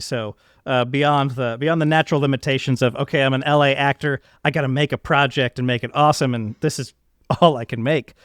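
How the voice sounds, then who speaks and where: A man's voice: medium pitch, dry recording, studio quality. A man hosting a podcast interview, speaking casually and with enthusiasm.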